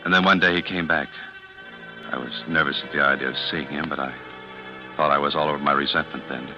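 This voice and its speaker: Very deep-voiced brooding ex-convict. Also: male voice, fairly even intonation, a little fast, over music. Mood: brooding, confiding. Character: bitter, brooding male ex-convict turned clerk. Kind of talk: 1940s radio drama acting, confiding first-person narration. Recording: muffled old radio recording.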